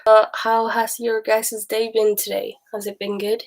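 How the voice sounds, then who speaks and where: A woman's voice: on the high side, little pitch movement, a little slow. A young woman from Sweden with a mixed Swedish-English accent, chatting casually with viewers on a livestream while sick, rambling and laughing often. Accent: Swedish with mixed Texan, Australian and British bits. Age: young adult. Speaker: livestream host.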